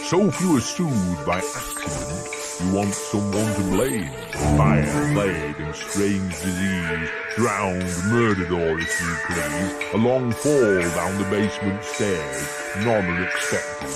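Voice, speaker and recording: Deep, slow, pompous monster showman. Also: expressive. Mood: morbid relish. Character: pompous, ghoulish, gluttonous monster showman reciting morbid rhymes. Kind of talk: theatrical video game acting in verse. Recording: loud music under the voice. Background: loud music.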